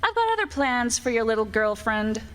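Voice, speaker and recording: Woman acting high-voiced, sweetly menacing witch. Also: sing-song, normal speed. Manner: cooing endearments with menace. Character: jealous, vindictive witch wife, sweetly menacing. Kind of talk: stage radio play, 1940s-style acting.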